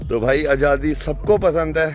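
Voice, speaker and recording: A man's voice: fairly high pitch, normal speed. A young man from Himachal Pradesh, chatting informally to viewers in a casual vlog, recorded outdoors on a phone at arm's length. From Himachal Pradesh, India. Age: young adult. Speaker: vlogger. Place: outdoors, rural farmhouse yard and fields. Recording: phone video with music under voice. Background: music.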